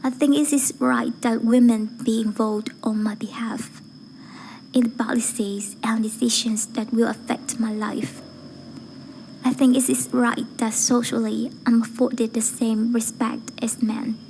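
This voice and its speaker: Breathy, even-toned woman reciting a speech. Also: a little high, unhurried, slight background noise, low-quality recording. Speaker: amateur voice-acting student reciting a speech. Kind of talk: rehearsed formal speech recitation.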